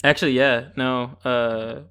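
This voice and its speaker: A young man with a notably bright, forward voice co-hosts a casual podcast. He banters loosely, swearing and piling on comic exaggeration. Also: medium pitch, little pitch movement, measured pace, clean tone. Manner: profane, joking exaggeration. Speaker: podcast host.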